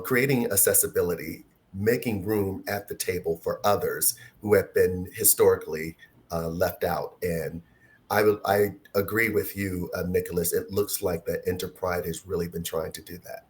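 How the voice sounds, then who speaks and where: A man with a very deep voice leads a panel over a video call. He speaks in a measured way and fills his pauses with ums. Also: a little mellow. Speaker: panel moderator. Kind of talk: online panel discussion.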